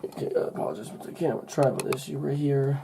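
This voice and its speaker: A young man recovering from a cold, narrating a casual unboxing in a halting, unscripted way, thinking aloud as he goes. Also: mid-range voice, vocal fry, background noise. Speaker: hobbyist vlogger. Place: indoors at home.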